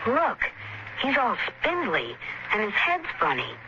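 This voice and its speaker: An actor in a 1950s radio drama playing the excitable, anxious young child of a prospector on Mars. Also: medium pitch, slow pace. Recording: noisy, muffled 1950s radio recording.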